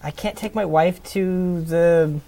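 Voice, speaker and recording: A woman's voice: fairly low pitch, takes it slowly, dark tone. A woman playing a timid, poor male debtor who stammers and pleads. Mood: pleading.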